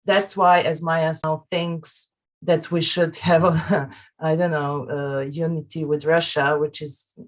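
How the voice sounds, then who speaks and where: Slow, earnest woman from Serbia. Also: sits low, little pitch movement. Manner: measured and earnest, with frequent hesitations. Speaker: panelist. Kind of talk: panel discussion.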